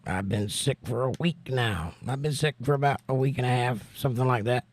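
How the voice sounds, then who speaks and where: An American man hosting a casual livestream and reading out viewer comments, hoarse from laryngitis, folksy and joking with preachy asides. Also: medium pitch, fairly quick. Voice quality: hoarse, strained. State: laryngitis, losing his voice. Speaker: livestream host.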